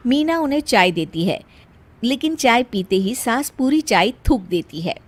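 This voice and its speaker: A woman's voice: on the high side, animated, a little slow. A woman storyteller reading scripted narration for a story.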